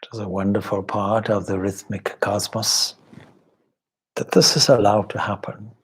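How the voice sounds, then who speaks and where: A man leading a guided meditation as a spiritual teacher, speaking in measured, deliberate short phrases with long pauses and formal, contemplative wording. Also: on the low side, level tone, breathy edge, rough recording. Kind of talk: guided meditation, slow spoken instruction.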